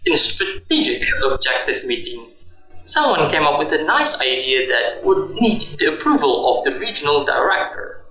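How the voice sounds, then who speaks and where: A man's voice: fairly high pitch, very animated, normal speed, bright, forceful tone, exaggerated voice. A man playing a defensive, flippant middle-aged husband and office worker in a radio drama. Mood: evasive. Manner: exasperated, joking, evasive. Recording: roomy echo, music underneath.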